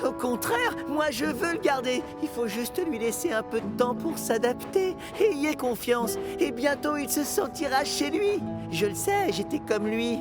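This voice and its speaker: An eager, kind young cartoon engine, speaks high and with lively swings of pitch. The delivery is earnest and expressive, with music underneath. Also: female voice, unhurried, hardly any pauses. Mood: pleading, hopeful. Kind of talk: children's cartoon dubbing.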